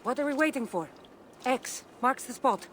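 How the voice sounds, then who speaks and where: A woman's voice: high-pitched, animated, normal speed, a bit bright. A woman playing a teasing, chatty, world-weary wandering warrior from ancient Greece in a video game. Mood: teasing, curious. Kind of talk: dramatic video game dialogue. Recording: noisy outdoor game ambience behind.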